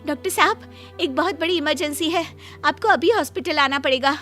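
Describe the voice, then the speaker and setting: High-voiced anxious nurse, played by a woman. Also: a little fast, a bit bright, faint music behind. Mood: urgent, pleading. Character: anxious, respectful female night-shift nurse. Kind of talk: Hindi moral cartoon story voice acting.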